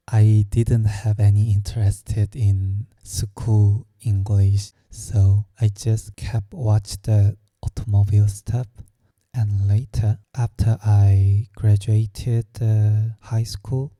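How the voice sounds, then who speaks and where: Slow, dark-voiced Korean-accented man. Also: fairly low pitch, no echo. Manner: slow, hesitant, searching for words. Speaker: vlogger. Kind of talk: personal storytelling.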